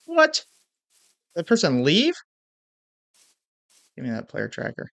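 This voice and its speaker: A man's voice: a little high, wildly swooping pitch, slow pace, creaky, fairly bright tone, dry recording, dull audio. A young man with a stuffy nose giving casual commentary on his gameplay over a headset mic in a small room at home. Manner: hyped intro, then muttered play-by-play. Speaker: gaming YouTuber.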